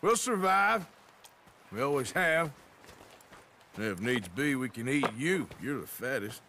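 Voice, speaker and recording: A gruff American outlaw in a western game. He delivers dry, sarcastic jabs with a lively, varied pitch. There is clear noise behind the voice. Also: a little slow. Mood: sardonic. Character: gruff, sardonic outlaw gunman in his thirties. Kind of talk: video game cutscene acting.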